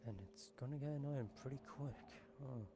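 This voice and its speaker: A man giving casual, low-key commentary as he plays a game, half muttering to himself. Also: brisk, background noise. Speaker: gaming commentator. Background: game music.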